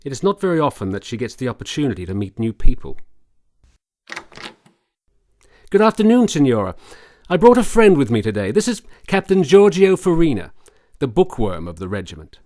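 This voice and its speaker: Courteous, learned period doctor played by a man, animated intonation, dry recording, articulate and theatrical radio drama style. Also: medium pitch, quick pace, few pauses, no echo, lightly acted. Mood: kindly. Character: learned, courteous army doctor, grave and kindly.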